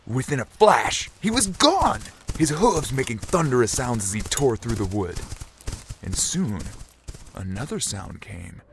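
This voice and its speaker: A man's voice: mid-range voice, big pitch swings, a little slow. A man narrating a scripted story as a dramatic storyteller. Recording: loud ambient noise behind voice.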